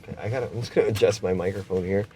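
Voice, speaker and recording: A man's voice: deep voice, steady tone, rapid-fire, mellow. Canadian man interviewing casually in a noisy car. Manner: informal, chummy slang. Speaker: interviewer host. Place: inside a car being driven. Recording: noisy moving vehicle interior.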